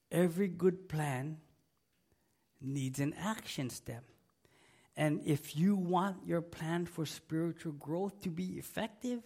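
Middle-aged male pastor, gravelly and losing his voice, slow and emphatic sermon delivery. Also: fairly high pitch, darkish tone. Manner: emphatic, repeats key words, asks the congregation for an amen. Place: church sanctuary.

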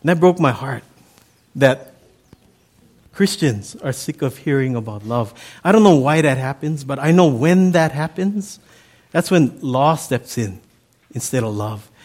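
A middle-aged man from Hawaii with a Hawaiian accent, Pidgin his first language, preaching a sermon in a relaxed, chatty, folksy way. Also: medium pitch, a little slow. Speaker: pastor.

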